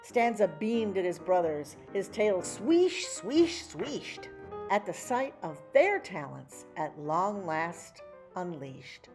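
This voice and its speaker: A woman reading a rhyming children's picture book aloud, with theatrical, measured phrasing and dramatic emphasis. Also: on the low side, big pitch swings, a little mellow, soft background music. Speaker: children's story reader. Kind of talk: expressive picture-book read-aloud in rhyme.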